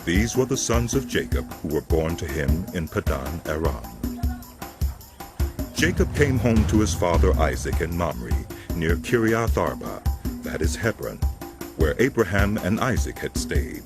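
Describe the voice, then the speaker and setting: Deep guy reading scripture like a solemn storyteller, expressive, loud music behind him. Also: a little slow. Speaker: narrator. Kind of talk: scripted dramatic Bible narration.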